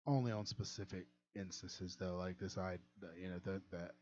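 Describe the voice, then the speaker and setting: A man's voice: low voice, fairly even intonation, normal speed, a little mellow, highs cut off, slight background noise. A young man chatting casually on a livestream about modding a game, rambling and chuckling at himself. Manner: rambling, chuckling, self-deprecating. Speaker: game modder and streamer.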